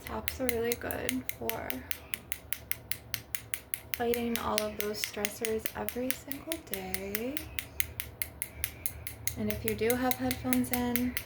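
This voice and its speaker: A woman's voice: on the high side, fairly even intonation, takes it slowly, long pauses. A woman hosting a casual spiritual ASMR monologue to viewers, talking in a rambling, conversational way. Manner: rambling, with frequent "like" and "you know". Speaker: ASMR channel host. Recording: loud background noise with tapping and clicking. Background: crystal and glass tapping, clicks, background noise.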